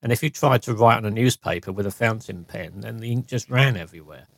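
A man's voice: lowish voice, quick pace, creaky. A man co-hosting a podcast, talking keenly and reminiscing. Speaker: podcast co-host. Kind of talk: casual chat.

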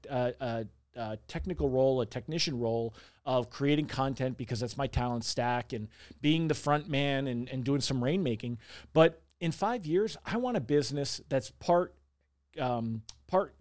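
A man in his forties speaking candidly as an interview guest, talkative and self-reflective, often repeating words as he thinks. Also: mid-range voice. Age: 40s. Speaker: interview guest, small business owner.

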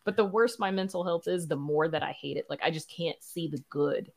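Low-voiced woman, candid and self-deprecating. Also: brisk, vocal fry. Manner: candid, self-deprecating, punctuates talk with "you know". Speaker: podcast guest, writer and producer. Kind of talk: candid casual conversation.

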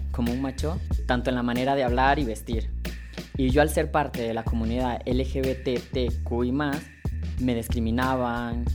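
A young man from Honduras, in his twenties, speaks. He tells his own story in a calm, measured, first-person way. His voice sits at a middle pitch, and clear music plays under it. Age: 20s. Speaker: featured migrant telling his story. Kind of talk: read personal testimony.

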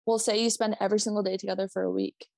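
A woman with a light Minnesotan accent chats loosely on her podcast, circling her point with lots of "like" and quick laughs. Her voice sits at a middle pitch and is recorded dry and close, with no room sound. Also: little pitch movement, vocal fry, darkish tone, dry recording. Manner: rambling, self-interrupting, laughing, swearing. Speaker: podcast co-host. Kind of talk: casual chat.

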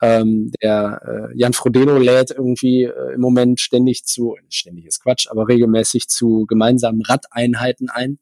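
A man's voice: mid-range voice, level tone, normal speed, gravelly, few pauses, darkish tone, no room sound. A man who co-hosts a podcast and coaches running, explaining things at length with many hesitations. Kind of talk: casual chat.

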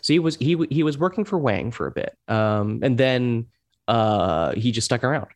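A man in his thirties from Hong Kong, a guest in a casual talk, speaking haltingly with many restarts and fillers. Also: mid-range voice, expressive. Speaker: guest, chair of an overseas Democrats group. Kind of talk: casual conversation.

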